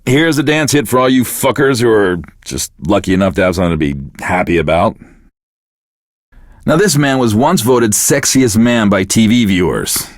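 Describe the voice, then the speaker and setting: An actor playing a bitter, self-pitying middle-aged American radio DJ in a video game, with deadpan, profane, casual patter. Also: male voice, fairly low pitch, no echo, clean studio sound, full character voice. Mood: wry.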